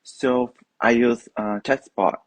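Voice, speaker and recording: A man's voice: mid-range voice, fairly even intonation, takes it slowly, dry recording, soft background music. A man from Brazil whose first language is Portuguese, a guest talking eagerly in a casual interview and often restarting his sentences. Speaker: guest, HubSpot trainer.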